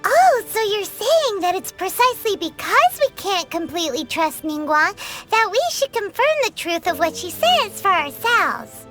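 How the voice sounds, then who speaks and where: A woman's voice: very high-pitched, sing-song, moderate pace, hardly any pauses, fairly bright tone, heavily acted. A woman voicing a tiny floating female fairy companion, bubbly and greedy, who talks about herself in the third person. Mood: doubtful. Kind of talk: video game dialogue. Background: game music.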